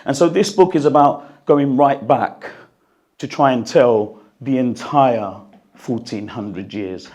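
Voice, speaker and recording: A man with a croaky, strained voice speaks to an audience at a book launch, then reads an excerpt, earnest and reflective, with the odd cough. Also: mid-range voice, warm tone. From United Kingdom. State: croaky voice, recovering from losing it. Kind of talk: talk and reading from his book. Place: hall at a gathering, in front of an audience. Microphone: handheld microphone.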